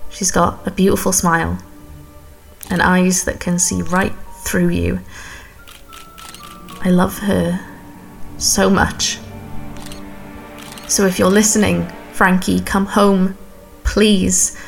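An actress playing an earnest young woman in an audio drama, speaking haltingly and tenderly. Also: fairly low pitch, flat delivery, creaky, soft background music. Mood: pleading. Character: earnest young woman pleading for missing girlfriend. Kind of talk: naturalistic audio drama monologue.

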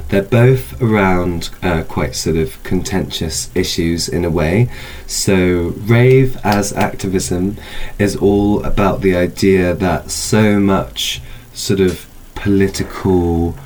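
A man with a deep voice talks as an interview guest presenting a programme. He explains things conversationally, searching for phrases and doubling back on words. There is some noise behind him. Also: darkish tone, low-quality recording. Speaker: film festival organiser and interview guest.

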